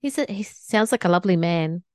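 A woman's voice: on the low side, lively intonation, brisk, a little mellow. A middle-aged or older woman hosting a podcast, speaking in a presenter's style as she introduces and interviews. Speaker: podcast host.

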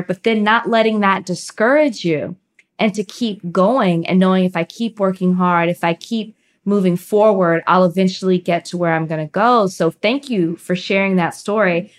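A woman co-hosting a podcast, chatting casually and reacting excitedly to her guest. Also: mid-range voice. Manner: excitable, jumps in with gasps and reactions. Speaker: podcast co-host. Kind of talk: casual chat.

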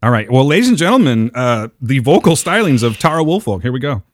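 Lively, joking man co-hosting a podcast. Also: medium pitch, fast. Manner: playful, joking, teasing. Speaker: podcast co-host. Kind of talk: casual banter.

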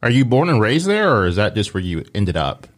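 A male host with a fairly low voice, chatting casually and asking curious questions. He sounds relaxed and uses loose, informal phrasing throughout. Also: brisk. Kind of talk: casual interview chat.

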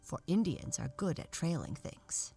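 A woman's voice: deep voice, big pitch swings, a little slow, vocal fry, mellow, exaggerated voice. A woman voicing an observant, confident toy doll in a children's storybook, close to her own everyday voice.

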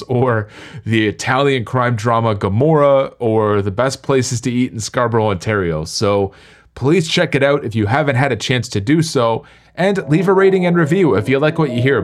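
A man hosting a sports podcast in a semi-scripted, presenting style. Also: medium pitch.

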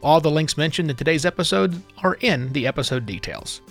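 A man's voice: on the high side, animated, brisk, vocal fry, faint music behind. A man hosting a podcast, delivering scripted announcements straight to the listener. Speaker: podcast host. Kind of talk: scripted podcast outro narration.

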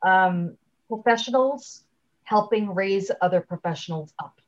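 A woman giving a conversational interview as a guest speaker, chatty and halting, often leaving sentences unfinished, on slightly dull audio. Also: mid-range voice, a little mellow. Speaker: guest, LinkedIn trainer and speaker.

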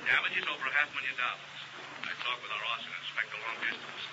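A man's voice: mid-range voice, fast, natural voice. A man playing an uneasy insurance agent. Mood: earnest. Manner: earnest, talkative, uneasy. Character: worried male insurance agent, earnest partner. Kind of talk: 1950s radio drama acting. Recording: muffled old radio broadcast with hiss.

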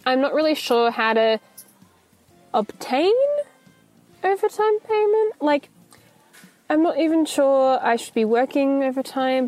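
Actress as anxious young female shop employee, high voice, polite and rambling, hesitant naturalistic audio-fiction delivery. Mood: hesitant, awkward. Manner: hesitant, rambling, nervous stammering and self-correcting.

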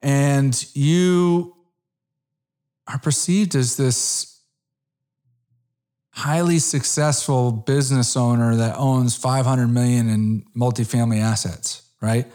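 A man's voice: mid-range voice, steady tone, takes it slowly, long pauses, dark tone. Chatty middle-aged male interviewer. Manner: conversational, restarts, frequent "you know" and "right?". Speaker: interview host. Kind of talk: casual interview.

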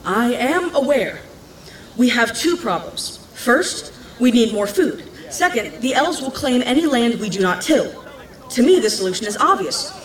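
A woman's voice: fairly high pitch, big pitch swings, a little slow, roomy sound, poor audio. A woman playing an earnest, eager young villager making a persuasive speech in a fantasy audio drama.

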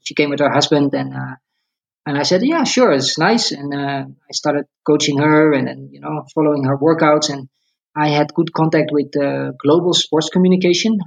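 A man's voice: a little high, little pitch movement, moderate pace, vocal fry. Dutch man, dull lo-fi sound. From Netherlands. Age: 40s. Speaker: interview guest, running coach. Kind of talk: casual interview talk.

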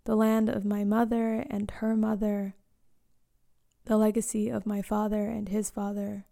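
A woman in her late twenties reading a reflective personal story in a slow, lyrical way, sometimes dropping to a hush. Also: mid-range voice, completely flat delivery, vocal fry. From born in Eswatini to Zambian parents, raised in South Africa, Australia and Canada. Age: late 20s. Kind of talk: scripted personal narration.

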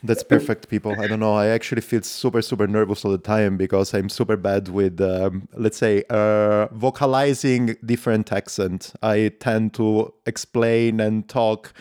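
Low-pitched Italian-accented male host. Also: fairly even intonation, moderate pace, hardly any pauses, darkish tone, no room sound, studio quality. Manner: effusive, informal, frequent intensifiers. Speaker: podcast host, interviewer. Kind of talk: casual interview hosting.

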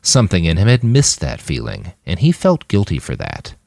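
Man, scripted audiobook narration, deep pitch, highly lively inflection, dry sound. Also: moderate pace, vocal fry, no room sound. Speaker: author reading his own fiction podcast.

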